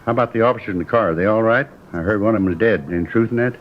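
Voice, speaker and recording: An American actor playing a chatty, eager newspaper vendor as a shaken eyewitness in 1950s radio drama style. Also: male voice, fairly low pitch, rapid-fire, lightly acted. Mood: concerned, eager. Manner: rambling, repetitive, folksy. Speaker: supporting actor. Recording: dull, noisy old radio recording.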